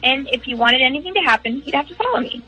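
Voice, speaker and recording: A woman's voice: high voice, expressive, quick pace, sharp tone, slightly rough, soft background music, rough recording. A young woman speaking as a phone guest on a radio show, telling things casually. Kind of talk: casual storytelling.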